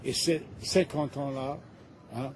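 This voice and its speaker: A man in his seventies from Algeria, an artist recalling the past in halting speech that often repeats words. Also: mid-range voice, steady tone, noisy background. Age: 70s. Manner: halting, repeats words while searching for them. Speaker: interviewed artist. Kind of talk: casual recollection in a news report.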